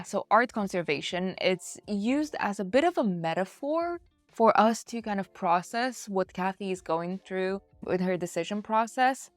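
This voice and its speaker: A woman's voice: medium pitch, no room sound. A woman hosting a book review in a chatty, conversational way, speaking directly to her viewers. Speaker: book reviewer and channel host.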